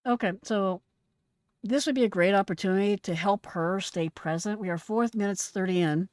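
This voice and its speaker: A woman's voice: mid-range voice, moderate pace, a little mellow, no room sound, studio quality. A woman giving frank, instructive, emphatic commentary as a host and retired emergency dispatcher, analysing a call.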